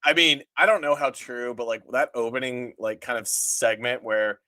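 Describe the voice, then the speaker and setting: A man's voice: mid-range voice, fairly even intonation, moderate pace, pushed and bright, no room sound. Casual man chatting, close dry sound. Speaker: podcast host reviewing a TV show. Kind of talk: casual podcast chat.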